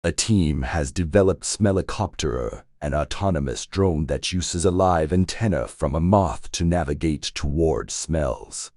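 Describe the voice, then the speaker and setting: A man with an extremely low voice reads prepared informational passages aloud. The sound is dry, with hardly any room on it, as in a model reading for learners. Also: measured pace, hardly any pauses, darkish tone, no echo. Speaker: read-aloud model reader. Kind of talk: scripted read-aloud of science passages.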